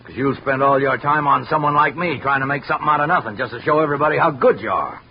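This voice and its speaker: A man's voice: medium pitch, fast talker, lightly acted. An actor playing a sullen, defensive night watchman in an old radio drama, curt and sarcastic. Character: surly, bitter, defensive male night watchman. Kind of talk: 1950s radio drama acting. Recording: muffled old radio recording, faint noise.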